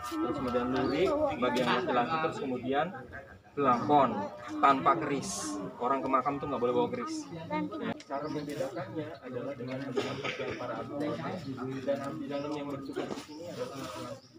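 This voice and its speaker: A man's voice: medium pitch, lively intonation, fairly quick, a loud crowd. A man from guiding a group on a tour, explaining conversationally and checking in with his listeners. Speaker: tour guide. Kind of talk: guided tour commentary to a group. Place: on site at a historical cemetery and bathing pool. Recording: noisy, echoey, distant live tour recording.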